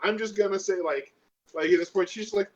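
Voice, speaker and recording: High-voiced man on a dull microphone. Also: a little fast. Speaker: tabletop role-playing game participant. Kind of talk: casual game talk.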